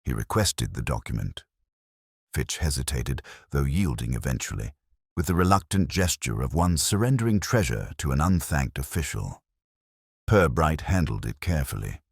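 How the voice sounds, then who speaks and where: A man narrating a scripted mystery story in a measured, literary manner. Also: rumbling low, sing-song, vocal fry, husky, no echo, clean studio sound.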